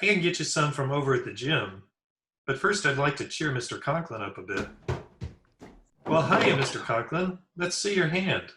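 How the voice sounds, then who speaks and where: A man's voice: fairly high pitch, very animated, moderate pace, sharp tone. A man playing an eager, folksy, simple teenage schoolboy in old-time radio comedy, with slangy speech. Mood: cheerful, reassuring. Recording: echoey remote video-call recording.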